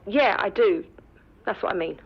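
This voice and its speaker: An actress playing a loving, unwell mother who speaks softly and hesitantly in a radio drama. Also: mid-range voice, lively intonation, normal speed, vocal fry, husky, lo-fi sound. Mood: warm. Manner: soft, gentle, hesitant. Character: loving mother, fragile, unwell, proud of son.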